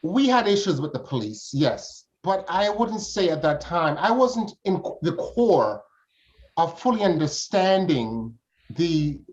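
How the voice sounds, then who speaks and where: A man's voice: fairly high pitch, sing-song, slow pace. An older man from Jamaica, answering as an interview guest in formal, flowery phrasing. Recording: dull, lo-fi audio.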